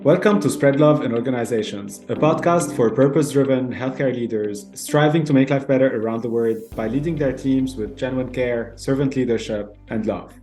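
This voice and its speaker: A man's voice: mid-range voice, moderate pace, few pauses, dark tone, soft background music. A man with a mid-range voice hosts a podcast. He reads his guest's introduction from a formal, prepared script. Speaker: podcast host.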